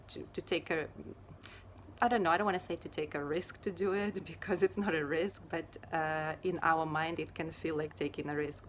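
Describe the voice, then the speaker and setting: A woman from Ukraine speaks in a low, fairly flat voice, taking her time and pausing often with fillers. A faint hiss sits under the recording. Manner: unhurried and hesitant, many fillers. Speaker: yoga teacher and Ayurveda therapist. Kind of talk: casual personal talk to camera.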